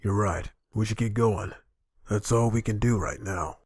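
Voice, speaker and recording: Man acting low-voiced, blunt, skeptical guy. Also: measured pace, a little mellow, slightly rough, no echo, clean studio sound. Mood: resigned. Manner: colloquial, blunt. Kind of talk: fan dub game acting.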